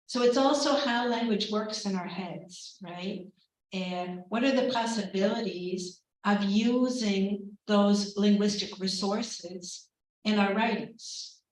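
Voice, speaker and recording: A woman professor giving a keynote and then answering questions, speaking in a measured, reflective way with soft laughs between points. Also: mid-range voice. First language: English. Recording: very echoey hall, distant mic.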